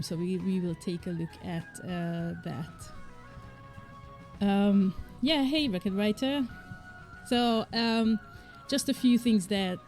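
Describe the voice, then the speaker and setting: A woman's voice: medium pitch, mellow, poor audio. A woman from Hungary, an indie game developer, chatting casually on a livestream with frequent fillers and light laughs. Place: home, living in the UK.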